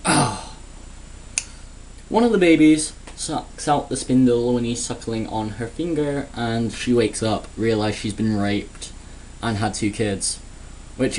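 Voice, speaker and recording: A man's voice: medium pitch, darkish tone, a little hiss or hum, rough recording. A man giving a sarcastic, crude, rambling comedic rant in a casual vlog. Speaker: vlogger.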